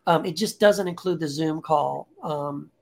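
A man's voice: a little high, creaky. A man, a podcast guest and author, talking casually and earnestly in an interview, often restarting his sentences, into a podcast microphone. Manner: earnest, reflective, speaks in halting restarts.

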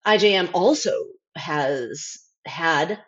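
A middle-aged woman speaks candidly as an interview guest in a fairly low voice. Her phrasing is halting, with thoughts restarted or left trailing. The recording is somewhat dull and lo-fi. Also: expressive. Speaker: interview guest, former overseas aid worker.

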